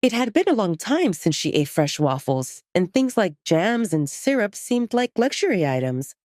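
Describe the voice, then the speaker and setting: A woman's voice: mid-range voice, sing-song, moderate pace, a little mellow, dry recording. A woman reading scripted dramatic story narration as an audio drama narrator, recorded close on a studio microphone.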